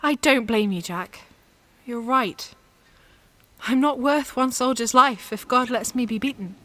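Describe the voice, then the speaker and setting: A woman's voice: a little high, measured pace, sharp tone. A woman playing a devout, headstrong young peasant warrior in a radio play, impassioned and plain-spoken. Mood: resigned, trusting.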